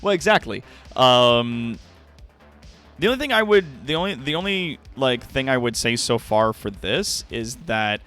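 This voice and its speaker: A man's voice: medium pitch, sing-song, unhurried, fairly bright tone, soft background music. A man hosting a livestream in a casual, rambling, chatty way, informal and given to swearing. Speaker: livestream host.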